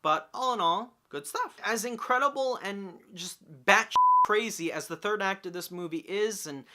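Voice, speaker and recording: A young man delivers an enthusiastic review to his viewers. His voice sits a little high and has a sharp, forceful brightness. He speaks informally and emphatically, with excitable energy and the odd mild swear. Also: normal speed. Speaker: movie reviewer. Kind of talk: enthusiastic video review to camera.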